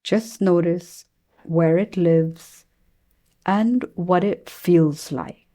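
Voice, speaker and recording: A woman leading a guided meditation as a teacher, speaking gently and soothingly with long pauses between phrases. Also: fairly low pitch.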